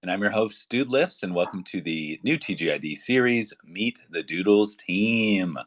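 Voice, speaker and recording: A man co-hosts a casual interview over a remote call, sounding upbeat and chatty and tossing in a few fillers. His voice sits very low. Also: expressive, moderate pace, a bit bright. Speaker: co-host.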